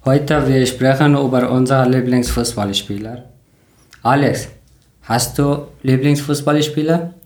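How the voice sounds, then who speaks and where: Ein Mann aus Afghanistan mit Deutsch als Fremdsprache moderiert einen Podcast und spricht vorbereitete Sätze bedächtig vor. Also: male voice, medium pitch, steady tone, a little mellow, reverberant. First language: not German. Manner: careful, learner grammar, slightly halting. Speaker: podcast host. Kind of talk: reading prepared talk in learner German.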